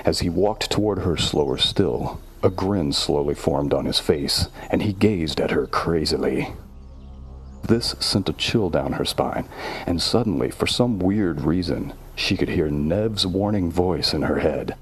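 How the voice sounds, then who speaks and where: A man narrates a horror story as a scripted tale. His voice is low and husky, with a rough grain to it. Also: lively intonation, unhurried.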